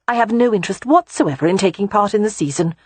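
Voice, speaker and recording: A woman's voice: mid-range voice, fast, slightly rough, dry recording, voice pushed up. A woman voicing a playful, wry young woman. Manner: wry, lively.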